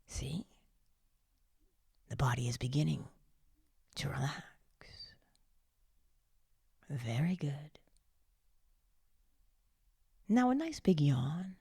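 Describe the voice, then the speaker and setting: A woman leading a guided meditation in a hushed, soothing, breathy and often whispered voice, coaxing gently with long pauses. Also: low-pitched, animated, dry recording, clean studio sound. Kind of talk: slow guided meditation narration, partly whispered.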